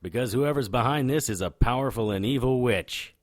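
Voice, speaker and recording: An actor playing a hard-boiled occult private investigator in a noir audio drama, with a deadpan, wry manner. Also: male voice, medium pitch, a little fast, lightly acted. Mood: urgent. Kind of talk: noir radio drama acting with first-person narration.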